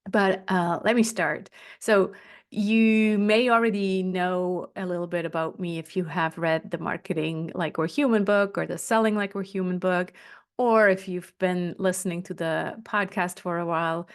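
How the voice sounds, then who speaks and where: A woman's voice: on the low side, fairly even intonation, normal speed, clean tone. A middle-aged woman from Switzerland presenting a solo podcast, talking directly to listeners from loose notes. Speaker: podcast host and business coach. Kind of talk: solo podcast talk to camera, partly scripted.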